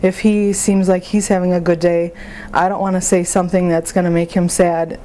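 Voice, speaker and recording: A woman playing a grieving young mother in a support phone conversation, speaking haltingly and plainly about her feelings. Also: fairly low pitch, little pitch movement, dark tone, slight background noise, natural voice. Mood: sad, hesitant. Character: grieving young mother, sad, exhausted, openly hurting. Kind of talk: role-played counseling phone conversation.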